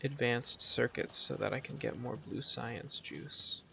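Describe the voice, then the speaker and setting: Slow-paced man with a creaky voice. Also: medium pitch, pushed and bright, slight background noise, rough recording. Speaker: game streamer. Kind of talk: casual live gaming chat. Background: music.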